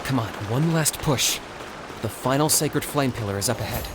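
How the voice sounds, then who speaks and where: Male actor as young adventurer guide for a game: calm, terse, mid-pitched, heavy background noise. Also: moderate pace, natural voice. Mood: focused, encouraging. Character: cool, composed young male adventurer guiding companions. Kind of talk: video game voice acting.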